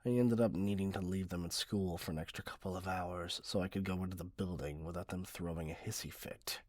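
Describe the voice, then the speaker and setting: A man voicing an entitled, middle-aged, obsessive customer in an ASMR roleplay, husky, condescending and possessive, close to the microphone. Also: sits low, steady tone, brisk, no room sound. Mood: smug, doting. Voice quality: husky, often breathy. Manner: condescending, self-righteous, cloyingly possessive. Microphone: close, dry microphone.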